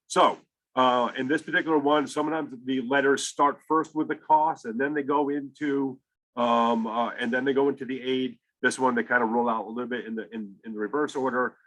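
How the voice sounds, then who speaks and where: A man's voice: mid-range voice, fairly bright tone, poor audio. A man speaking as a school college counselor, explaining step by step in a conversational way during an informal presentation to parents.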